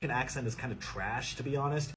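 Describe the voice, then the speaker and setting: A man's voice: medium pitch, a little fast, fairly bright tone. A man from the United States giving a scripted, upbeat monologue to camera as a vlogger, listing his points. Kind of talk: scripted social media monologue. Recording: clip played with music under it.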